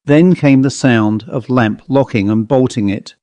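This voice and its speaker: A man's voice: mid-range voice, measured pace, dark tone, dry recording. A man reading a scripted ghost story as an audiobook narrator.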